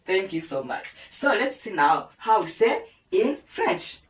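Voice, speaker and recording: A woman's voice: mid-range voice, sing-song, measured pace, a little hiss or hum. A woman with a Rwandan accent teaching a language lesson, coaxing listeners to repeat with many prompts. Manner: patient, coaxing, many "yes?" and "aha" prompts. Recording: echoey room, voice a bit far from the mic.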